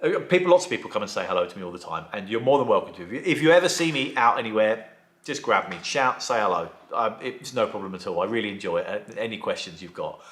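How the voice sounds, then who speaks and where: A man who restores classic cars, chatting casually in a vlog, with a croaky voice from a sore throat. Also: lowish voice, expressive, fast, fairly bright tone, reverberant. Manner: chatty, rambling, enthusiastic. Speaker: vlogger and car restorer.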